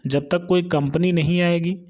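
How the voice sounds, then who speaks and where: A man speaks in a slightly high voice. He talks informally as a channel host, explaining exam updates point by point and checking in with listeners at the ends of phrases. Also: steady tone, normal speed, a little mellow.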